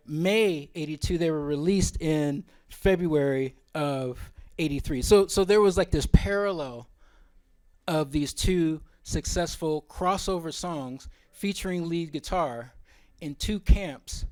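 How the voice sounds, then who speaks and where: A man's voice: a little high, measured pace, a little mellow. A man presenting at a symposium in an informal, opinionated way, often wandering into asides.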